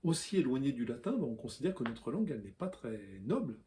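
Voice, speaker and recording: A man, a language columnist, explaining spelling to camera in a lively way, with fillers, spelling out letters and example words. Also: mid-range voice, quick pace, slight background noise. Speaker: language columnist and video host.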